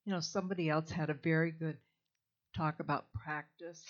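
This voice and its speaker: An older woman with a low, grounded voice gives an informal talk to a live crowd, candid and chatty with a dry humor. The sound is dull and a bit lo-fi, as if picked up from a microphone in a hall. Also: fairly even intonation, moderate pace. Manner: candid, chatty and warm-humored, with soft laughs. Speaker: invited speaker.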